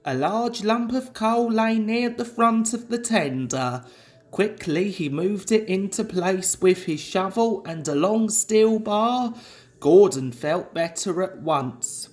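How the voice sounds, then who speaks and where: A person reading a children's story aloud in a deliberate, dramatic storytelling style. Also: mid-range voice, animated, darkish tone. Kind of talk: expressive children's story narration.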